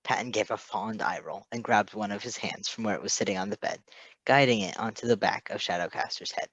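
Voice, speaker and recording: A man reading a story aloud in an expressive scripted narration style. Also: fairly low pitch, fairly quick, slightly rough, dry recording. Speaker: podfic reader.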